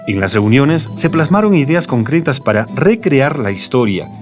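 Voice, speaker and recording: A man's voice: mid-range voice, big pitch swings, normal speed. A man reading scripted documentary narration. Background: plucked guitar music.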